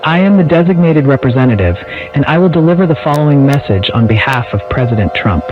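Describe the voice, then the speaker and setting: A man's voice: medium pitch, lively intonation, fast talker, close to an everyday voice. Solemn male government spokesman, acted by a man, formal national address, varied intonation, dull lo-fi broadcast. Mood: grave, urgent. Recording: muffled lo-fi radio-like broadcast, music.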